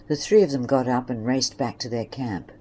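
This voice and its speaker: A woman's voice: very deep voice, normal speed, mellow, slight background noise, rough recording. A woman reading scripted narration for an audio drama story.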